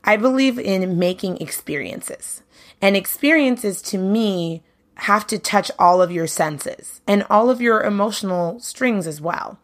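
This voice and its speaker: A woman's voice: medium pitch, measured pace. Chatty New woman hosting a podcast. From Brooklyn, New York. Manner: chatty, upbeat, casual phrasing with many "you know"s. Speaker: podcast host, singer and bandleader. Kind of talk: casual solo podcast monologue.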